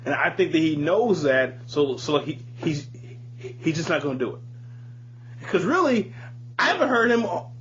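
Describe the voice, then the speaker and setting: A man with a fairly high voice talks casually as a podcast co-host, slangy and freely swearing as he makes his points emphatically. The sound is dull and lo-fi, with a little noise behind him. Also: big pitch swings, normal speed, a bit bright. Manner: slangy, profane, emphatic opinions. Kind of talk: casual music discussion. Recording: dull lo-fi audio, audible background noise.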